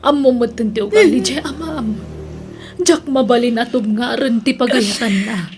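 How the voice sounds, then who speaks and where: Actress as high-voiced, sorrowful Ilocano mother. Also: normal speed, darkish tone, close to an everyday voice. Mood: sad, helpless. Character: sorrowful, helpless mother afraid of her husband. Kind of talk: Ilocano radio drama acting. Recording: noisy background ambience.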